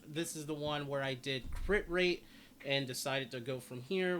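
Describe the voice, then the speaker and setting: A man's voice: medium pitch, fairly bright tone. A man giving a casual, rambling video game guide, often repeating and rephrasing himself. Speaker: gaming video creator. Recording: noticeable background noise.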